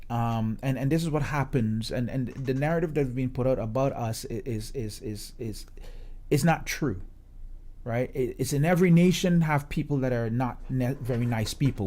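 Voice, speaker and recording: Mellow-voiced Guyanese man, emphatic. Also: mid-range voice, lively intonation, moderate pace. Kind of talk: conversational radio discussion.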